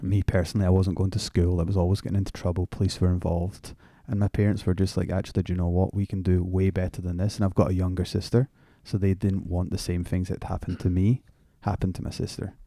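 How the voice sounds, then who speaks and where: Deep dark-voiced Glaswegian man. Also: little pitch movement, fast talker, few pauses, no echo, studio quality. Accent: Glaswegian Scottish. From Glasgow, Scotland. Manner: laid-back, wry, casual swearing. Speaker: fitness coach, podcast guest. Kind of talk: casual storytelling interview.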